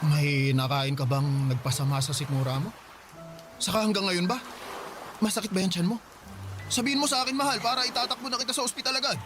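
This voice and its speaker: A man's voice: sits high, fast talker, over music, natural voice. A man playing a caring, protective young husband who frets over his wife in a radio drama. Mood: worried, urgent.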